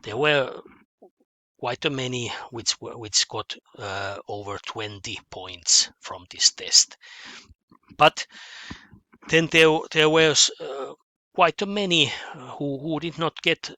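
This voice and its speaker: A man with a Finnish accent, a math teacher addressing his students in a halting way, with many fillers and repeated words. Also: mid-range voice, pushed and bright, dry recording. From Finland. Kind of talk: spoken lecture to students.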